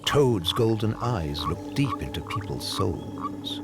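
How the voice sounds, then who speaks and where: Male documentary narrator, lowish register, highly expressive storytelling delivery over a clear music bed. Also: slow. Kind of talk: scripted narration, nature and folklore documentary. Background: clear background music.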